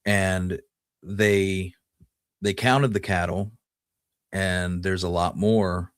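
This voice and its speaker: A man hosting a podcast, telling Western history in a conversational, somewhat halting way. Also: sits low, no echo, clean studio sound. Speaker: podcast host.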